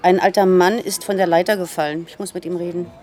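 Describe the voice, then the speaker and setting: An actress playing a businesslike police investigator in a naturalistic radio crime drama, speaking matter-of-factly. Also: female voice, fairly low pitch, little pitch movement, fast talker, darkish tone, close to an everyday voice. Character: businesslike female police investigator, quick to retort.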